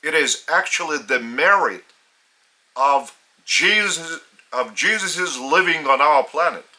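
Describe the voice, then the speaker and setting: A man with a Lithuanian accent, a native Lithuanian speaker, giving a solemn spiritual teaching in English in slow, deliberate phrases with long pauses. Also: fairly high pitch, expressive, pushed and bright.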